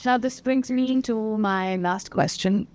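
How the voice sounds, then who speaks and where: A woman hosting a podcast, reading her introduction and questions in a smooth, clear way. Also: a little high, slow, darkish tone. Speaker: podcast host. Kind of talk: scripted interview questions.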